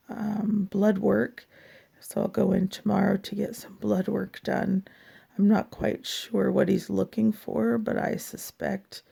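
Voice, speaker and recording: Hoarse, raspy, tired woman. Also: mid-range voice, mellow. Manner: slow, halting and tired, with many pauses and fillers. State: hoarse from a lasting cough. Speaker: vlogger. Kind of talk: personal health update.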